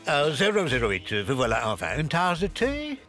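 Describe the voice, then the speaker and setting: An actor playing a fussy, polite spy gadget master in a French cartoon, with a formal, jovial manner. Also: male voice, on the high side, wildly swooping pitch, normal speed. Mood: brisk, jovial. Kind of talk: French cartoon dub acting. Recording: music behind the voice.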